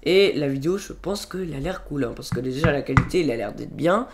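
A young man with a cold talks through a home computer tutorial, pitched slightly high. He rambles, restarts his sentences and fills pauses constantly, recorded on a computer microphone. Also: lively intonation, noisy background. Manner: rambling, many fillers and restarts. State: has a cold. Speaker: tutorial youtuber. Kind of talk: casual screen-recorded tutorial.

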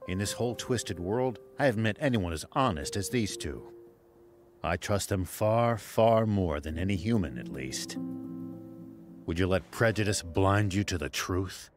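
An actor playing a stern, honorable old military veteran with a grave, commanding manner in a video game. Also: male voice, deep voice, measured pace, music in the background. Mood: grave, earnest. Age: older. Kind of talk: English video game cutscene acting.

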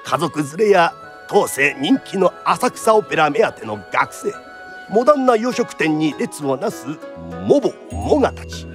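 Guy narrating a radio drama, really animated high voice, storyteller style, music underneath. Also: unhurried. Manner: theatrical, polite storyteller phrasing.

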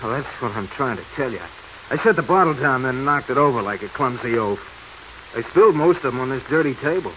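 Actor as scheming treasure hunter, muffled radio. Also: male voice, medium pitch, lively intonation, fairly quick, fairly bright tone, close to an everyday voice. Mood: flustered. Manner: evasive, stammering when cornered, bossy. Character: scheming, nervous male treasure hunter hiding guilt. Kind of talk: 1940s radio acting. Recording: muffled, hissy 1940s radio recording.

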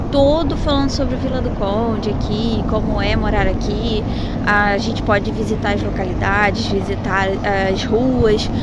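A Brazilian woman in her thirties talks to her phone camera held at arm's length, chatty and quick to repeat words for emphasis. Her voice sits a little high. Wind and passing traffic are clearly audible around her outdoors. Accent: Brazilian Portuguese. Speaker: travel vlogger. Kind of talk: casual travel vlog. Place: outdoors by a seaside town, windy.

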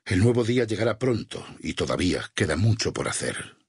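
An actor playing a stern, vengeful religious war leader in a Spanish video game dub, with a Castilian accent, a husky rasp and solemn, preacherly phrasing. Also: male voice, lowish voice, very animated, a little fast, darkish tone, dry recording, studio quality, heavily acted. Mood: weary, solemn. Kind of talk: dubbed video game voice acting.

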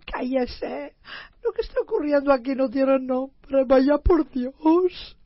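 Woman acting high-pitched, indignant immigrant tenement woman. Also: animated, slow, no echo, highs cut off, exaggerated voice. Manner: scolding, exclamatory. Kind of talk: comic stage radio play acting.

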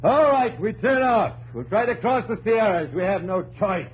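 An actor playing a weary, driven expedition captain, narrating his journal gravely and haltingly in 1950s radio drama style. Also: high-pitched, expressive, exaggerated voice. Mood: troubled. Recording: muffled 1950s radio broadcast, music behind.

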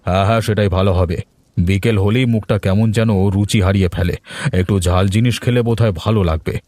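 A man's voice: lowish voice, fairly even intonation, quick pace, darkish tone, no room sound, lightly acted. A man voicing a grumbling older head of a village household in a cartoon. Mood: settled. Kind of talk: cartoon voice acting.

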